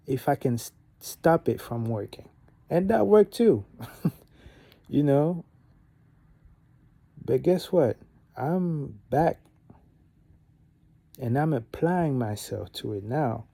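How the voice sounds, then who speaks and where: A man's voice: a little high, no room sound. A young man from Gabon, a native French speaker, giving a casual motivational talk to camera, rambling with fillers and restarts. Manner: rambling, many fillers and restarts, animated on key points. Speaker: vlogger.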